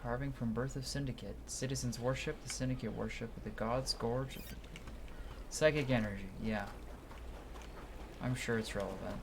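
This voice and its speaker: A man giving casual, sweary live commentary on a video game, thinking out loud and reading game text aloud. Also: mid-range voice, fairly even intonation, normal speed, vocal fry, pauses often, background noise. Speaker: game streamer. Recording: game music behind. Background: video game music.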